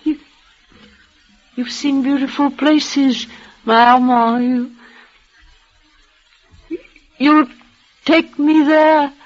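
An actress playing a frail, dying young woman, tender and devoted, speaking in a breathless, halting way with soft repeats. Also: sits high, fairly even intonation. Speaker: lead actress. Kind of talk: 1930s radio drama acting. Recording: muffled old radio broadcast.

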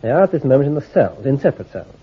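An actor playing a shrewd army intelligence commander in a radio drama, issuing careful orders in a clipped, authoritative way. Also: male voice, mid-range voice, big pitch swings, racing, husky. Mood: commanding. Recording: tinny, phone-like old recording.

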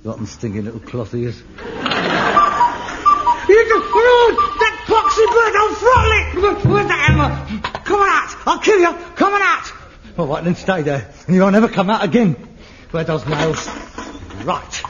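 An actor playing a frustrated middle-aged son, theatrical, swinging from wistful muttering to manic ranting in a sitcom. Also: male voice, on the high side, expressive, fairly quick, faint music behind, lightly acted. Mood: manic rage. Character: frustrated middle-aged son, a rag-and-bone man with grand dreams. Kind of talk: 1970s TV sitcom acting. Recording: dull old TV soundtrack.

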